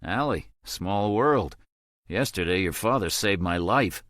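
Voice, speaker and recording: A man's voice: lowish voice, animated, unhurried, fairly bright tone, no room sound, clean studio sound. A man voicing a tense, shaken man who speaks urgently and emotionally.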